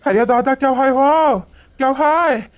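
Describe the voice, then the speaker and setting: Voice actor doing cartoon village father, older, Awadhi accent, high voice, pleading, fretful, muffled lo-fi sound. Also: measured pace. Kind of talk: cartoon voice acting.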